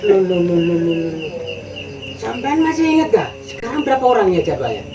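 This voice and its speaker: A man's voice: high voice, very animated, fairly quick. A man playing a self-important Madurese senior night-watchman in a comedy sketch, fussy, repetitive and protesting, with a Madurese accent. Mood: indignant. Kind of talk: comedic village sketch dialogue. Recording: echoey outdoor-sounding, distant mic, crowd and noise.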